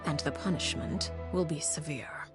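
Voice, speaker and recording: An actress playing a cold, menacing female leader of a secretive house in a video game, speaking formally and with measured poise. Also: low voice, music in the background, natural voice. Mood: coolly menacing. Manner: measured, formal, veiled threats.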